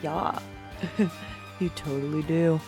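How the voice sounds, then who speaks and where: A man's voice: on the high side, fairly even intonation, slow pace, gravelly, over music. An actor playing a laid-back, cocky male brawler, slangy and casual, in an audio drama.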